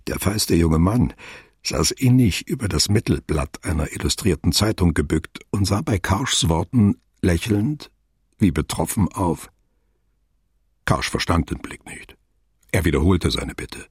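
A man narrating a literary radio play as a sober, observant storyteller with a detached, deliberate delivery. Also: low-pitched, animated, slightly rough, no echo, studio quality, exaggerated voice.

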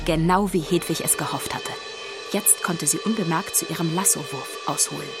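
A woman's voice: sits low, moderate pace, music in the background. A woman narrating a children's audio drama as a scripted storyteller.

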